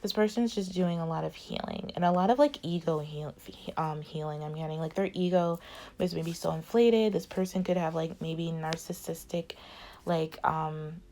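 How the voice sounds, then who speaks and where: A woman's voice: sits low, moderate pace, mellow. A woman giving a casual tarot reading, rambling with many fillers while losing her voice. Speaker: tarot reader.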